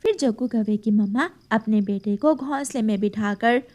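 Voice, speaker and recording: A woman narrating a cartoon story for children in a lively storybook manner. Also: fairly high pitch, normal speed, a loud crowd.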